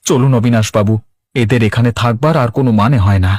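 A man playing a pious, long-suffering fisherman in a cartoon, measured and resigned. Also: mid-range voice, a little mellow, no echo, studio quality, natural voice. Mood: stern, dignified. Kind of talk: Bengali cartoon dub.

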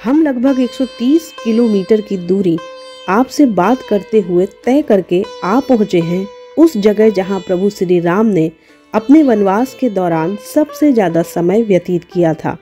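A woman's voice: mid-range voice, expressive, unhurried, warm tone, faint music behind. A woman narrates a travel vlog, devotional in manner and speaking straight to viewers.

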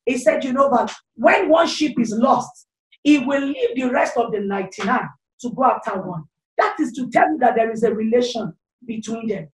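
A woman's voice: fairly high pitch, animated, normal speed, roomy sound, far from the mic, poor audio. A middle-aged Nigerian woman evangelist preaching into a handheld mic, fervent and repetitive, with frequent exclamations. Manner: gestures freely while speaking into a handheld mic. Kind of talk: online sermon. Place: small indoor room, seated. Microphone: handheld corded microphone.

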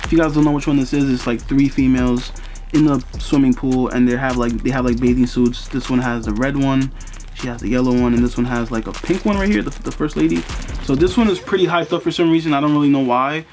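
A young man in a casual unboxing vlog, talking in a relaxed, chatty way with lots of fillers, seated in a small room in front of the camera. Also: mid-range voice, fast talker. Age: young adult. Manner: laid-back, chatty, lots of "you know" fillers. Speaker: sneaker and streetwear vlogger. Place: bedroom with sneaker boxes, indoors.

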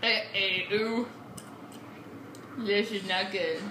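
A woman's voice: mid-range voice, steady tone, slow, background noise. A woman chatting casually as she plays a video game. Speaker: let's play gamer. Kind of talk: casual chat while playing a video game. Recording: roomy sound, game music behind. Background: video game music.

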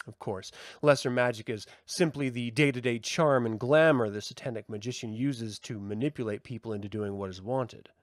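A man reading a formal essay aloud as a host, with measured, emphatic delivery. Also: mid-range voice, dry recording, studio quality. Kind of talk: expressive scripted essay reading.

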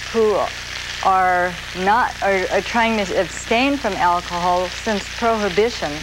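Soft-spoken woman hosting a cooking show. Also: medium pitch. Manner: slow, gentle and soft-spoken. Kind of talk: TV cooking demonstration. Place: TV studio kitchen set. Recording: grainy 1980s videotape with noise.

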